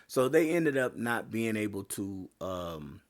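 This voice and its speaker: A man hosting a sports podcast, talking casually with lots of fillers and restarts, his voice getting a little hoarse. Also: on the low side, big pitch swings, slow pace. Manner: rambling, many fillers and restarts. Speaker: podcast host.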